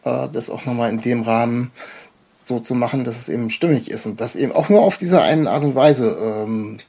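Casting: casual interview host, soft dark tone with some rasp, enthusiastic, meandering delivery full of hesitations. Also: medium pitch, normal speed. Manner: hesitant, rambling, enthusiastic fan talk. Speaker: podcast host.

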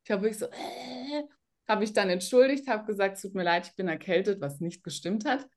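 A woman, a trained singer and coach, tells stories as a podcast guest. She talks fluently and acts out the reactions of the people she describes. Her voice sits at a middle pitch. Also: fairly quick. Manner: lively storyteller, acts out quoted reactions. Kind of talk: casual interview chat.

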